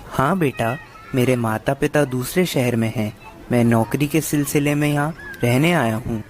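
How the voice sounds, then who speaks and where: Man as kind thirty-year-old neighbour, medium pitch, gentle, cartoon story dialogue. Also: normal speed, slight background noise, close to an everyday voice. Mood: friendly.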